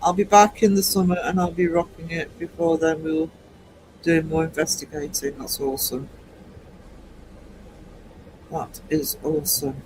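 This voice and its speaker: Man, 60s, livestream host, fairly high voice, chatty, teasing. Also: level tone, measured pace, long pauses, background noise. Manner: chatty, teasing, laughs often. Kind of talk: casual chat reading viewer comments.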